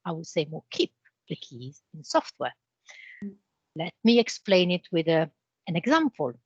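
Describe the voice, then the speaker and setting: A woman with an Italian accent, a guest in a business interview, explaining with homely comparisons in a measured way. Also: low voice, big pitch swings, husky, dry recording, dull audio. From Italy. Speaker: interview guest, channel manager.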